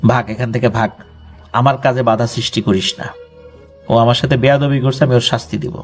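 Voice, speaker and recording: A man's voice: medium pitch, fairly even intonation, brisk, soft background music, voice pushed down, natural voice. A man with a Bangladeshi accent voicing an arrogant, menacing jinn possessing a villager in a horror story, threatening and commanding. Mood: menacing threat.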